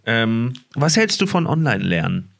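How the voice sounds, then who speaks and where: A man co-hosting a finance podcast, chatting casually, laughing easily and filling pauses with hesitant sounds. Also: medium pitch, big pitch swings, creaky, a bit bright. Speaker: podcast co-host.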